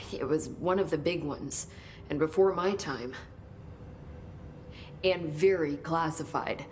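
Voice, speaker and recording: A low, soft-spoken researcher at a secret agency, talks thoughtfully with the odd sigh. Her dialogue comes from a video game cutscene with faint ambience behind it. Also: takes it slowly, slight background noise. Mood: earnest. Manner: soft, hesitant, thoughtful with sighs. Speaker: game character.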